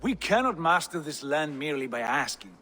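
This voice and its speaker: An actor playing a confident Viking jarl in a video game cutscene, commanding and welcoming in a formal, declamatory way. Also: male voice, fairly high pitch, sing-song, fairly quick, bright, forceful tone, soft background music, exaggerated voice. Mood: decisive.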